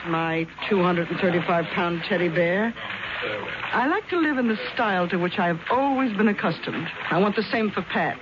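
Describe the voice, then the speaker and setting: A worldly, brazen middle-aged mother-in-law played by a woman, drawling, teasing and bossy. She speaks in 1970s radio drama style on a dull recording with clear noise. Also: fairly low pitch, expressive, moderate pace, natural voice. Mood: calculating.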